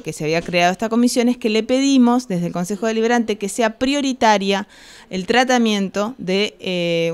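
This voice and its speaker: A middle-aged woman with an Argentine Spanish accent, a councillor explaining things formally in a news interview, measured and hesitant with frequent pauses. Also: medium pitch, smooth. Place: small office, indoors. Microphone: handheld reporter's microphone held close.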